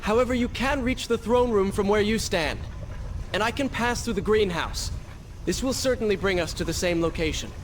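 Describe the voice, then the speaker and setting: An actor playing a proud, regretful young prince, speaking formally and earnestly in a dramatic video game. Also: male voice, high voice, moderate pace, natural voice. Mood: resolute. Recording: noisy game capture with sound effects.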